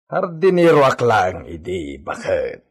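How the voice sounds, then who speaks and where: A man's voice: low voice, wildly swooping pitch, measured pace, husky, heavily acted. An actor with an Ilocano accent playing a touchy, grumbling hacienda worker in a radio drama, blunt and challenging in his way of talking. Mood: defensive, boastful.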